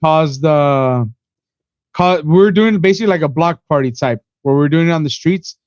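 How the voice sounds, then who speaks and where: A man's voice: fairly high pitch, moderate pace, a little mellow, no room sound, highs cut off. A man in his twenties from Miami, a podcast guest rambling through his story in casual chat, full of "you know" and "bro". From Miami, USA. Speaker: podcast guest, event organizer.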